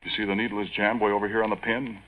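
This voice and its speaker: A man's voice: lowish voice, little pitch movement, rapid-fire, close to an everyday voice. A man playing an earnest, bewildered Air Force pilot, deferential and plain-spoken, with an American accent, in 1940s radio acting style. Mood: earnest explaining. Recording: muffled old radio broadcast.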